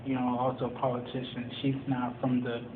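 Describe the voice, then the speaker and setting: A young man from Tanzania, a college student, answering interview questions in a measured, explanatory way with many pauses and ums. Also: mid-range voice, completely flat delivery. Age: young adult. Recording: echoey room over a tinny phone-like line with background noise.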